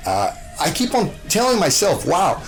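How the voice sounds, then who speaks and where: A man's voice: on the high side, huge pitch swings, normal speed, gravelly, faint music behind. A man from Costa Rica, a show host, answering interview questions with gushing enthusiasm, full of fillers and restarts.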